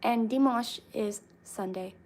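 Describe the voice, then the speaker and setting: A young woman chatting casually with her viewers, relaxed and reading comments aloud. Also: a little high, slow pace. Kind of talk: casual chat with live chat.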